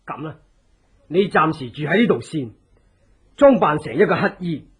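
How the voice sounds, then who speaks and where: A loyal, scheming conspirator with a very raspy, fairly high voice that swings widely in pitch. His Hong Kong Cantonese delivery is deliberate, persuasive and theatrical, in radio drama style. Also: lively intonation. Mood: reassuring, earnest. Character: loyal scheming male resistance ally, earnest, deliberate advisor.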